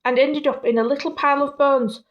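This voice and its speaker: A woman reading a children's picture book aloud in an animated way, with casual, playful, apologetic asides. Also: sits high, fairly quick, dull audio. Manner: playful, self-interrupting, apologetic.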